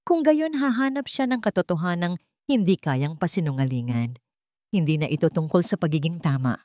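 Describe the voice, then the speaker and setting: A woman narrating a scripted dramatic story in a measured, theatrical way. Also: lowish voice, darkish tone, no room sound, studio quality.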